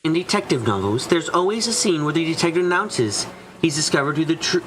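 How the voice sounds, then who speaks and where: A man's voice: on the high side, animated, a little fast, a bit bright, a little hiss or hum, low-quality recording. A man doing a dramatic reading of visual novel dialogue as a streamer, now and then stumbling over words. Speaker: let's play streamer. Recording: music behind the voice.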